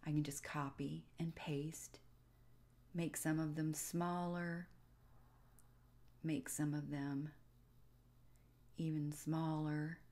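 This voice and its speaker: A woman giving casual step-by-step narration for a software tutorial, pausing between short phrases. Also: sits low, flat delivery. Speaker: tutorial host.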